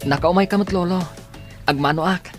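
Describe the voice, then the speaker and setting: A man's voice: sits high, fairly quick, over music, lightly acted. A man with a Filipino accent playing a sweet, grateful young student and respectful grandson in a radio drama.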